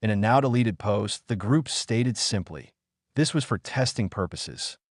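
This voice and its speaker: A man's voice: fairly low pitch, expressive, unhurried, dry recording, clean studio sound. A man playing a male TV news anchor in a drama, delivering a scripted report in a formal newsreader manner. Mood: grave, composed. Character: male TV news anchor, composed then alarmed.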